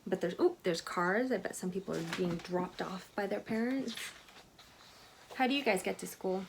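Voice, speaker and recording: Lady doing storytime for little kids, expressive, chats to the listeners, bit of noise behind her. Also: medium pitch, moderate pace, vocal fry. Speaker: storyteller reading a picture book to children. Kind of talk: read-aloud narration with chatty asides to young listeners.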